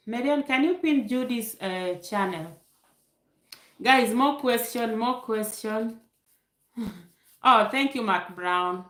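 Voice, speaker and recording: A woman's voice: fairly high pitch, a bit bright, reverberant. A young woman from Kenya with a Kenyan accent and Luo as her first language, hosting a casual live question-and-answer stream, reading viewer comments aloud and laughing often. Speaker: YouTube livestream host.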